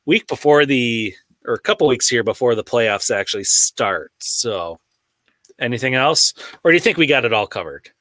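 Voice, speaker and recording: A man hosting a casual sports chat, relaxed and steering the talk along. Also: medium pitch, normal speed, no room sound. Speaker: podcast host.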